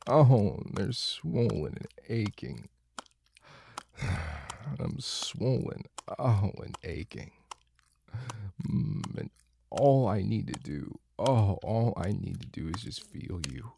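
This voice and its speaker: A man speaking in a slow, breathy intimate roleplay, moaning and dropping into whispers. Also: fairly low pitch, big pitch swings. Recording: clear background noise with clicking.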